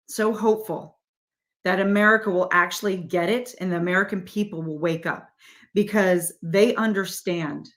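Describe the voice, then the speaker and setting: A woman's voice: on the low side, fairly bright tone. A middle-aged woman, a guest in an interview, speaking emphatically and with rhetorical questions aimed straight at the listener. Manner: insistent, rhetorical questions, direct address to viewers. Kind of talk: emphatic political interview talk.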